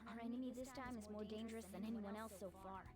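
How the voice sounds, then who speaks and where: An actress voicing a small talking cat companion in an anime game, sensible, bossy and frank in giving advice. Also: female voice, fairly high pitch, steady tone, quick pace, lightly acted. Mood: serious, cautioning. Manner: frank, advisory. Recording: loud game music over voice.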